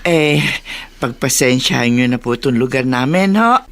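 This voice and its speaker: A woman's voice: extremely low pitch, lively intonation, moderate pace. An actress playing a plain-spoken, homely older mother from a poor household in a Tagalog radio drama. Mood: apologetic.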